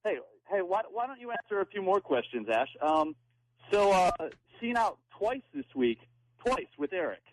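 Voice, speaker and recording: A man with a higher-than-usual voice confronts his partner on a radio show. His pitch moves a lot, and he is accusatory, stumbling and repeating words as he builds his point. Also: sing-song, moderate pace, rough recording.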